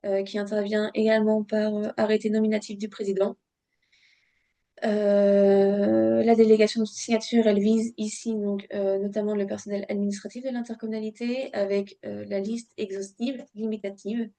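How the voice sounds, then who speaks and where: A woman presenting an explanatory webinar in a didactic way, addressing listeners directly, with a halting delivery full of filled pauses. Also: mid-range voice, flat delivery, mellow. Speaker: webinar presenter on local government law.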